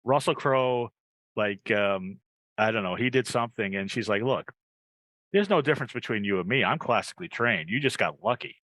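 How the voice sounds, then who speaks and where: A man's voice: lowish voice, expressive, moderate pace, fairly bright tone, no echo, rough recording. An American man hosting a podcast, chatting casually in an informal, joking way. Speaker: podcast host. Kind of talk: casual chat.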